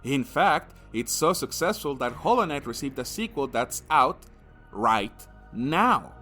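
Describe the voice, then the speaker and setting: A man delivers an opinionated video essay as a game reviewer. His medium-pitched voice moves around a lot, lively and expressive. He is crude and sardonic and curses freely, with faint music behind him. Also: unhurried.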